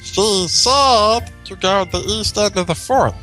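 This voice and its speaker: A man puts on a bossy little girl pony general for a storybook reading. The voice is high and bright, swinging widely as it barks stern, self-important orders. Music plays softly behind it. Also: slow pace, full character voice, low-quality recording. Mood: barking, urgent. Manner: barking orders.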